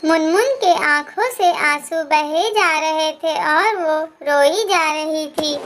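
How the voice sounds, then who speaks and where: A woman narrating a scripted children's animated moral story. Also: very high-pitched, slight background noise.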